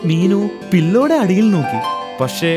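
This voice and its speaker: A woman's voice: fairly low pitch, very animated, moderate pace, music in the background. A woman narrating a children's cartoon story in a storytelling manner suited to small children.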